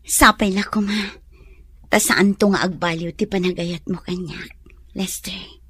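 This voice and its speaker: An actress playing a tender, shy young woman being courted in a radio drama, husky, soft and hesitant. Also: fairly low pitch, fairly even intonation, measured pace, no echo, full character voice. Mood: tender, grateful.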